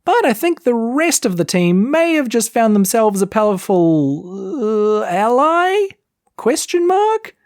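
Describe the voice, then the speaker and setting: Lively woman recapping, roomless dry sound. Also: a little high, takes it slowly, dry recording. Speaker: podcast narrator. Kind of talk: playful scripted episode recap.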